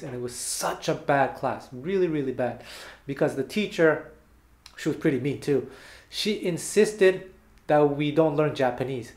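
Animated guitar teacher, roomy echo. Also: male voice, fairly high pitch. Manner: animated, explains with hand gestures between playing. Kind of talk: casual video lesson. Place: small bare room indoors, seated with guitar. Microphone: fixed camera at a few meters.

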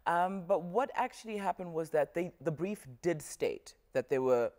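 A young woman actress, a guest on a talk show, with a deep husky voice, speaking in a measured, thoughtful way on a lapel mic. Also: lively intonation, darkish tone, long reverb tail. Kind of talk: casual studio interview. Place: tv studio set.

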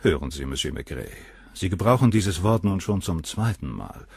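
Cold cynical doctor, deep husky voice, swings up and down a lot, dry studio sound. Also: male voice, very animated, moderate pace, dry recording, full character voice. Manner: dismissive, clinical, condescending. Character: cold cynical male doctor, middle-aged suspect. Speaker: doctor questioned by the inspector. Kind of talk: radio play acting.

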